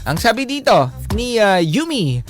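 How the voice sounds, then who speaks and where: A man with a Filipino accent co-hosting a radio show, chatting casually and reading out comments in an expressive, teasing way. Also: high voice, a little slow, soft background music.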